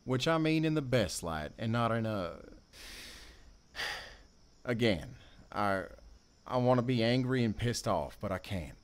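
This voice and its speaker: Guy acting as a hurt ex-con in an audio play, sardonic but soft hearted, emotional, mid-range voice. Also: medium pitch, expressive, takes it slowly, pauses often. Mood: earnest, confessional. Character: wounded, sardonic male ex-convict with a soft heart.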